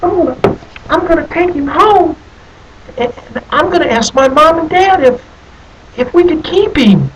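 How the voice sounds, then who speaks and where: A man's voice: extremely high pitch, lively intonation, measured pace, pauses often, distant mic, pitched above the performer's own voice, poor audio. A man voicing an eager, hopeful little farm boy from a storybook, hesitant and pleading as he repeats himself.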